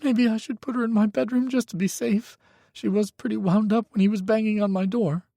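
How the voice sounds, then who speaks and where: A man's voice: high voice, steady tone, brisk, creaky, mellow, dry recording, pitched above the performer's own voice. A man voicing a timid, frightened young man who sounds tearful and shaky. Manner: trembling, tearful.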